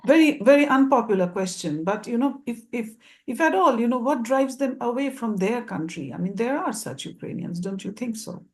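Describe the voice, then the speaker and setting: A woman's voice: a little high, animated, fairly quick, hardly any pauses. A woman moderating a panel interview, asking long rambling questions with repeated words.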